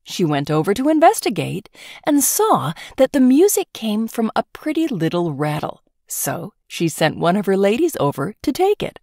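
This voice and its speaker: A woman narrating a children's fairy tale as an expressive, scripted storybook read-aloud. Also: mid-range voice, moderate pace, dry recording, clean studio sound.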